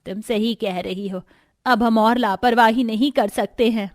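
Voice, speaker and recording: A woman playing women of a joint family in a Hindi cartoon story, with expressive, earnest dramatic delivery. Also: fairly high pitch, unhurried, close to an everyday voice. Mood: worried, firm. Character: women of a joint family, dutiful daughters-in-law and mothers-in-law.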